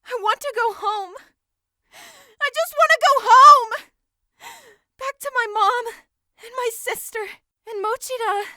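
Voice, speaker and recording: A woman acts out a frightened teenage schoolgirl in an anime horror game dub. Her voice is very high and bright, pleading and easily overwhelmed. The recording is close and dry. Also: slow, no room sound, full character voice. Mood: distraught, pleading.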